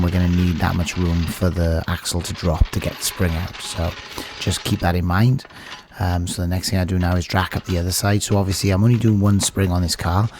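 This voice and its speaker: Low-voiced British man, losing his voice. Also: background noise. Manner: relaxed, chatty, filler-heavy explaining. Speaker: channel host, car mechanic. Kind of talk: DIY car repair tutorial. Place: small home workshop office indoors.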